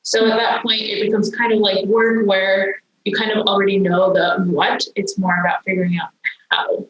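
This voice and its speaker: Young woman software engineer, hindi home language, chatty explaining with ums, mid pitched, echoey room. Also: level tone, moderate pace, muffled recording. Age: young adult. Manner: explanatory, frequent "um" fillers. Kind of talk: casual interview answers.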